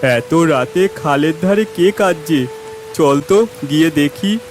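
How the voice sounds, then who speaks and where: A man voicing a curious, sensible village boy in a cartoon, boyish and expressive. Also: fairly high pitch, slow pace. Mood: wary, curious. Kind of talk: cartoon voice acting. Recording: clear music behind the voice.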